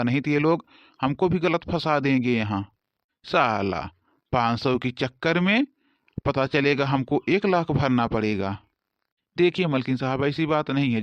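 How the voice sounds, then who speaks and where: A man's voice: mid-range voice, no echo, muffled recording, lightly acted. A man voicing a bossy, complaining village police officer who blusters but is easily cowed, in a comic cartoon. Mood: uneasy.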